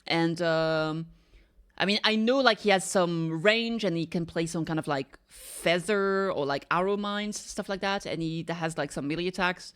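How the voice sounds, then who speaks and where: Rambling lower-voiced woman streamer. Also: normal speed, a bit bright, clean tone. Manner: rambling, hedging, thinking aloud. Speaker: game reaction streamer. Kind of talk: casual reaction chat.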